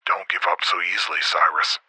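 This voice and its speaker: A man doing a gravel-low, raspy old spaceman over radio. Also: expressive, moderate pace, sharp tone, no room sound, exaggerated voice. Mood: encouraging. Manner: muttering, terse, authoritative. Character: stern, frail old male space explorer, patriarch. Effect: helmet radio filter.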